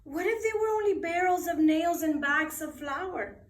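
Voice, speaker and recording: A woman reading a bedtime story aloud expressively, with dramatic, deliberate phrasing for children. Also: extremely high pitch. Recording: roomy echo, distant microphone.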